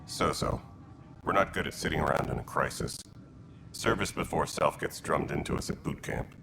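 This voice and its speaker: An actor playing a gruff, dutiful alien militia leader in a video game, speaking with blunt military terseness in a gravelly voice. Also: male voice, expressive, normal speed, background noise, exaggerated voice. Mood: candid, reflective. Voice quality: gravelly, very deep.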